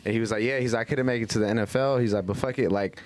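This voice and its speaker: Young dude with a lowish voice, casual podcast storytelling, lots of slang and quoting folks. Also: male voice, steady tone, racing. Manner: slangy, quotes people as he tells stories. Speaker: podcast host.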